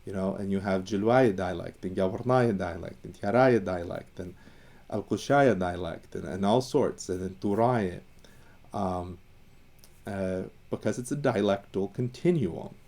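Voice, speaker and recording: A man from Iran talks through language history, with a low, mellow voice. He thinks aloud, full of fillers and false starts. He slows down to dwell on each term he defines. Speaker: educator on Assyrian language and identity. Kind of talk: casual explanatory talk.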